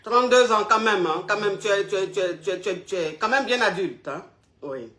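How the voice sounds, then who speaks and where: A woman's voice: mid-range voice, brisk, a crowd in the background. A woman hosting a live advice call, blunt and repetitive, calling the caller "ma chérie". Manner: blunt, calls caller "ma chérie", repeats herself. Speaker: advice show host.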